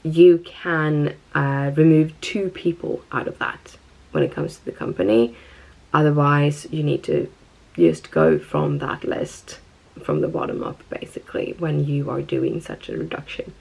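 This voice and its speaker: A woman's voice: low voice, lively intonation, measured pace, gravelly, darkish tone, rough recording. A woman with a Swedish accent, talking casually and chattily to viewers as a vlogger. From Stockholm, Sweden. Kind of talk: casual personal vlog talk.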